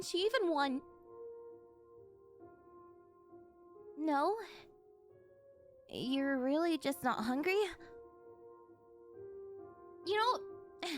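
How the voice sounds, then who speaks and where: Woman acting a doting yandere girlfriend, very high voice, swooping intonation, rich and obsessive, cooing, flustered, ASMR roleplay. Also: exaggerated voice. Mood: coaxing, rebuffed. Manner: gushing, cooing, over-eager, flustered denials.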